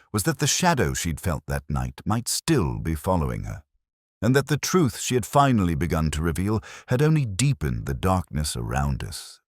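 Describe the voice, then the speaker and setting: A man with an extremely low voice narrates a detective mystery as a brooding, weary investigator. His delivery is measured and solemn, yet the pitch moves expressively through each phrase. The recording is dry and close, with no sense of a room. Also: dry recording. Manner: measured, literary, solemn storytelling. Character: brooding, world-weary male police detective recounting a case. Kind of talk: scripted first-person detective story narration.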